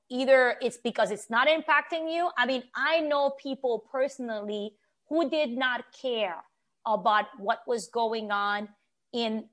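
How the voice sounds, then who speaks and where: Earnest woman with a higher-pitched voice. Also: fairly bright tone. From Haiti. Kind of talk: conversational opinion talk.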